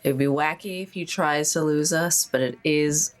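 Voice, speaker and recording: A woman with a distinctly low-pitched voice takes part in a tabletop game. She speaks in casual, conversational turns as one of the players. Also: normal speed. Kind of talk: casual chat.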